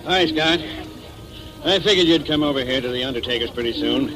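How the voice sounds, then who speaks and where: An actor playing a small-town doctor, halting and confiding, in a 1940s radio drama. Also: male voice, mid-range voice, over music, close to an everyday voice. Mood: uneasy, confiding. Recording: noisy old 1940s radio recording.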